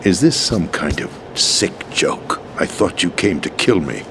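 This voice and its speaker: Deep, bitter American president. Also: male voice, big pitch swings, unhurried, background noise, exaggerated voice. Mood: wary, sardonic. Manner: theatrical, emphatic, declamatory. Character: disillusioned American president, bitter, world-weary conspirator. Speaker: US president in a video game. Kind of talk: dramatic video game voice acting. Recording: game soundtrack music underneath.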